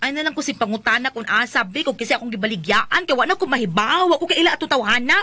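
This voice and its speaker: A woman's voice: on the high side, expressive, quick pace, sharp tone. A woman playing a cheeky, defiant wife in a comedy skit, whiny and exclamatory, with a Cebuano Bisaya accent. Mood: defensive. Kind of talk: colloquial comedy skit acting.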